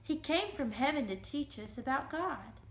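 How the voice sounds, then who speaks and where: Tender young mother, read by a woman, high voice. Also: animated, normal speed. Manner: soft, tender. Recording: roomy, echoing room sound.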